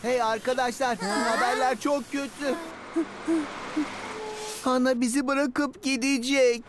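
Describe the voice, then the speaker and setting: A woman's voice: high-pitched, steady tone, slow, music in the background. A woman voicing a mild, earnest little boy bus who worries, in a children's cartoon dub. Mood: alarmed.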